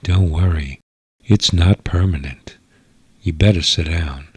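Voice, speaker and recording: Guy doing a sassy alien girl, low n slow drawl, insolent and teasing, radio sci-fi, bone dry recording. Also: slightly rough, dry recording. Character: sassy, defiant young four-armed alien woman. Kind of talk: old-time radio sci-fi storytelling.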